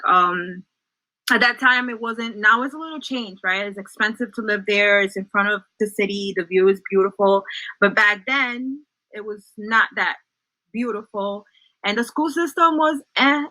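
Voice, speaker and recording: A woman's voice: medium pitch, moderate pace, pushed and bright, no echo, rough recording. A young woman from El Salvador, a native Spanish speaker, chatting as an interview guest and often checking in with "right?". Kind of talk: casual storytelling.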